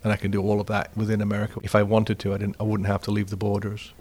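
Flat-toned Englishman recounting his life. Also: lowish voice, very fast. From England. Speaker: interviewee. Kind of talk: storytelling.

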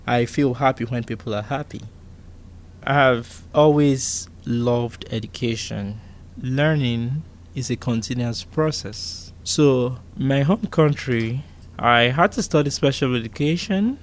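A man's voice: medium pitch, measured pace. A man in his thirties from Nigeria, telling his life story in an interview in an earnest, reflective way and repeating points for emphasis. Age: 30s. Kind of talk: recorded personal interview.

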